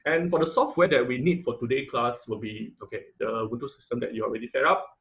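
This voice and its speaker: Man, online instructor, medium pitch, informal lecture, hesitant halting phrasing, video call mic. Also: a bit bright. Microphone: computer mic on a video call.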